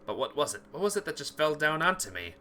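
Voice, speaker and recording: A man's voice: on the high side, sing-song, fast, a little hiss or hum. A man streaming a game, reading visual novel text aloud with casual asides and stumbling now and then as he reads. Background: soft plucked guitar music.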